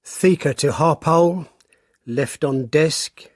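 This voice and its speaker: A man's voice: a little high, slow. A man playing a stubborn, grudging school caretaker reading out his note in a radio drama.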